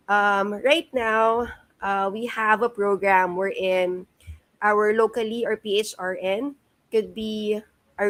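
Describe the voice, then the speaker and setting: A woman in her thirties with a Filipino accent, giving a career presentation to students, halting often on "um" and mixing in Tagalog. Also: mid-range voice. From Philippines. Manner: halting, frequent "um" fillers, mixes in Tagalog. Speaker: guest speaker at a career talk. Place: not present in the room, speaking remotely.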